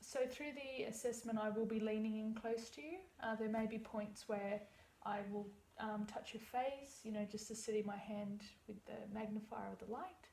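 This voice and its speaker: Young woman, slightly gravelly, calm practitioner walking a client through an eye exam; echoey room with faint hum. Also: on the high side, normal speed, few pauses. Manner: explains step by step, reassuring. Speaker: iridology practitioner. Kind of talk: practice consultation.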